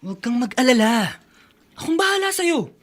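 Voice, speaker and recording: Pushy, animated young Filipino boyfriend. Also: male voice, very high voice, moderate pace, heavily acted. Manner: cajoling, emphatic. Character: pushy, slick young boyfriend, persistent and dismissive. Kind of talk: Filipino radio drama acting.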